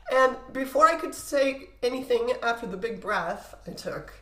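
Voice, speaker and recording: A middle-aged woman with a loud, projecting voice telling personal stories casually as a podcast guest, in an animated way, acting out conversations. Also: fairly high pitch, slow, reverberant.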